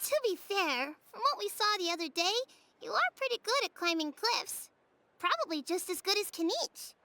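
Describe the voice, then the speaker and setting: A woman's voice: very high-pitched, big pitch swings, normal speed, pushed and bright, full character voice. A woman voicing a tiny floating female companion who is chirpy, blunt and teasing, in a video game dub. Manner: bubbly, bouncy, teasing. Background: game music.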